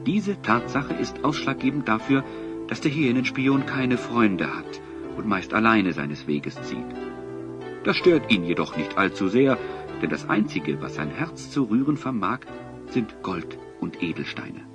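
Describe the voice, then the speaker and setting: A deep-voiced fantasy narrator with music behind him. He tells the tale with suspense and wide, theatrical rises and falls. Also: animated, unhurried, muffled recording. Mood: suspenseful. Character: male storyteller narrator of a fantasy adventure. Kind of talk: scripted dramatic narration.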